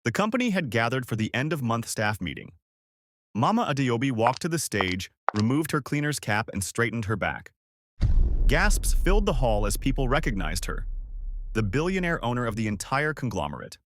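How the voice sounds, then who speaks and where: A man reading a scripted, dramatic story in a measured storytelling manner. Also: mid-range voice, big pitch swings, fairly bright tone, slight background noise. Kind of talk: scripted narration of a dramatic folktale-style story.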